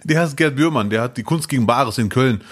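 A man's voice: medium pitch, brisk, fairly bright tone, breathy edge. A man co-hosting a podcast in casual chat, dropping dry jokes and short agreeing replies. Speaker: podcast co-host.

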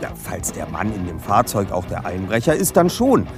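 Precise, lively boy detective leader. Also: deep voice, normal speed, music in the background. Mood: businesslike. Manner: precise, bookish, matter-of-fact. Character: teenage boy detective leader, precise, self-assured, formal. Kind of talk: German audio drama acting.

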